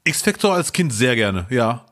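Man on a podcast, mid-pitched voice, playful and self-mocking, chatty, laughs a lot. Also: sing-song, measured pace, fairly bright tone. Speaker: podcast co-host. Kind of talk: casual chat.

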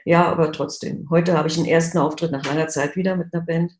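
A woman's voice: low voice, steady tone, fast, a little mellow, a little hiss or hum. A woman, an illustrator and singer, chatting cheerfully in an interview. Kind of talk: casual chat. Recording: roomy echo.

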